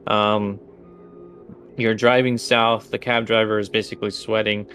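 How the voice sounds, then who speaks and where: A man narrating a tabletop game as game master, halting as he thinks aloud. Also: fairly low pitch, level tone, a bit bright, soft background music.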